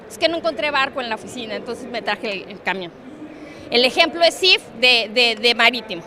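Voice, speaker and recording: A middle-aged woman with a Mexican Spanish accent giving a conference talk on trade, animated and joking, often turning to the audience with questions. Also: on the high side, a little fast, a crowd in the background. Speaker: conference speaker on international trade. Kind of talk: lively conference talk with props and asides. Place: conference hall.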